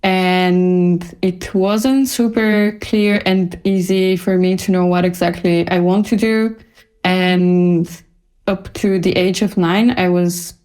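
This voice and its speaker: A woman's voice: mid-range voice, steady tone, takes it slowly, darkish tone, clean tone. A woman from Bulgaria, a designer speaking casually as a guest in an interview.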